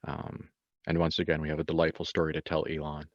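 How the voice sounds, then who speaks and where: A man's voice: extremely low pitch, completely flat delivery, quick pace, no room sound. A man giving wry narration in a tabletop roleplaying game, recorded with a dull sound.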